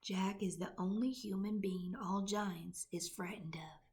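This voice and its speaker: A woman voicing a big friendly male giant who whispers in hushed tones with mangled grammar. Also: mid-range voice, fairly even intonation, measured pace, natural voice. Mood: explaining.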